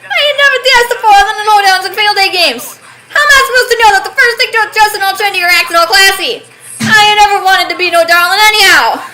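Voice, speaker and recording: Brash country youth, very high and forceful, shouted Southern slang. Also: female voice, expressive, slight background noise, heavily acted, rough recording. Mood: riled up. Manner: shouting, rapid rural slang. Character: loud rustic farm youth, brash and unrefined.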